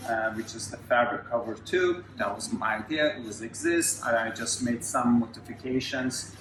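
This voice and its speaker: A man's voice: medium pitch, normal speed. A man from Turkey with a Turkish accent, a Pilates educator who explains things earnestly and step by step. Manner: earnest, explains step by step with small grammar slips. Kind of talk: casual interview. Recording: echoey room with faint noise.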